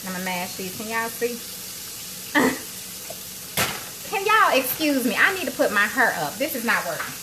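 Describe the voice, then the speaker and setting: A playful woman chats with her viewers, calling them y'all as she cooks. She speaks into a phone on a small tripod in her home kitchen. The sizzle of frying grease comes through clearly around her voice. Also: on the high side, big pitch swings, a little slow, pauses often, background noise. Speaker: cooking show host. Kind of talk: casual livestream cooking chat. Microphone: phone on a tripod, close to arm's length.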